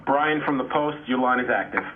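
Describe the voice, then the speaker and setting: A man's voice: mid-range voice, fairly quick. Male call moderator on a tinny line. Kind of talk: brief formal call announcements. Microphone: phone conference line. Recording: narrow, tinny telephone line.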